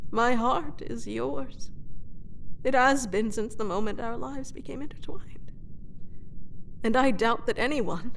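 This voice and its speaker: Slow, anguished captive queen, acted by a woman. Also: high-pitched, a little hiss or hum. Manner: formal, poetic, halting delivery with breathy whispered trailing off. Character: captured royal woman, proud, anguished, lovelorn, confessing under truth potion. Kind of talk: dramatic fantasy audio roleplay monologue.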